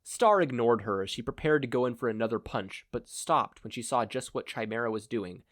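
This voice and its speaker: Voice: medium pitch, lively intonation, a little fast, no echo, clean studio sound. A narrator reading a scripted fan fiction story aloud. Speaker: podfic narrator.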